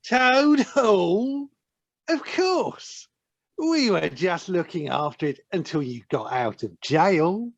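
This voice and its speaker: Villainous weasel, female actor: oily and insincere, gravelly, very slow, on muffled lo-fi call audio. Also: lowish voice, animated, exaggerated voice. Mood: falsely sweet. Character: sly, falsely sweet villainous weasel. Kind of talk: amateur audio play acting. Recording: dull lo-fi video-call audio.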